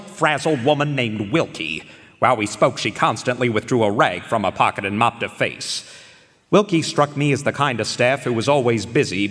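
Man acting a animated noir private eye. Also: medium pitch. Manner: wry, measured, storytelling. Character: down-on-his-luck male private eye, self-assured narrator. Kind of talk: noir narration in live radio drama. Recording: echoey concert hall with music.

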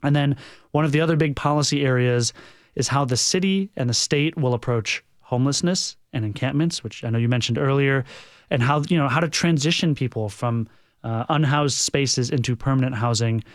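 A man's voice: medium pitch, fairly quick, no echo, clean studio sound. A man speaking conversationally as a news director being interviewed on the radio.

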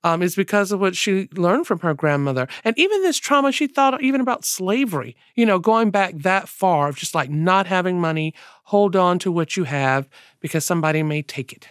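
A man's voice: high voice, very animated, fairly quick, few pauses, fairly bright tone, clear, resonant tone, no echo, studio quality. A man co-hosting a radio interview, talking conversationally with a few restarts and fillers. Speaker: radio co-host.

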